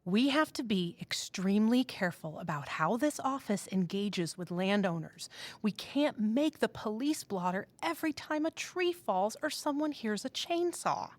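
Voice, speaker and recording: A woman playing a stern, cautious office boss in an audio drama, speaking in a firm, measured, exasperated way. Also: mid-range voice, expressive, no room sound, close to an everyday voice.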